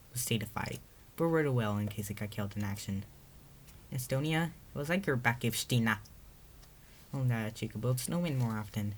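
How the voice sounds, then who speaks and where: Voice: mid-range voice, slight background noise. A narrator reading their own story aloud in a storybook read-aloud style.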